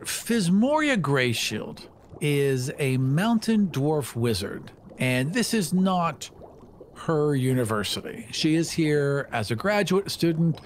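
A man with a slightly high voice talks as a podcast panelist and tabletop player. His delivery is casual, conversational storytelling. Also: sing-song, slow, slight background noise.

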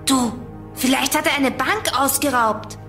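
An actress playing a sharp-witted, grumpy girl detective in a German children's radio play, dry and bossy. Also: high voice, measured pace, music in the background. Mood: commanding. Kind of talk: German children's audio drama acting.

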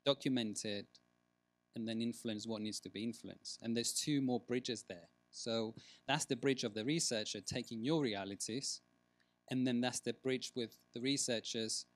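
Male conference speaker, mid-range voice, emphatic and deliberate, closely recorded with little room sound. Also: medium pitch, no echo. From Greece. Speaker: researcher giving a conference talk. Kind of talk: conference presentation with discussion. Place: large conference room, at a lectern.

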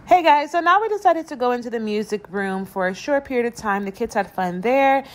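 A woman's voice: fairly high pitch, animated, brisk, noisy background. A woman vlogger and mother narrating a family outing in a casual voice-over, talking straight to her viewers. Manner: friendly, direct address to viewers.

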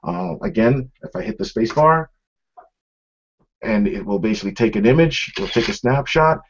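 A man's voice: lowish voice, lively intonation, normal speed, a little hiss or hum. A middle-aged man giving an informal product demonstration, explaining conversationally and speaking straight to viewers. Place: small office room, indoors. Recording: dull, lo-fi webcam stream sound.

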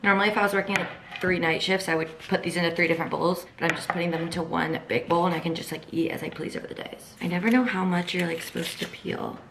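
A young woman with a twang talks to her camera while she moves around her kitchen and balcony. Her voice sits a touch low, and she chats in an upbeat way, full of fillers. A steady hiss of background noise runs under the recording. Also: fast talker, echoey room. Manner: chatty, upbeat, heavy use of "like" and "y'all". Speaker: vlogger. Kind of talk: casual vlog chat to camera. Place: home kitchen and apartment balcony.

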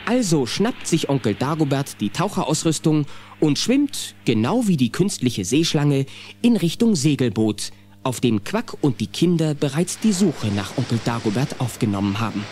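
A man narrating a scripted children's audio play as an animated storyteller. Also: fairly high pitch, normal speed, background noise. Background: music.

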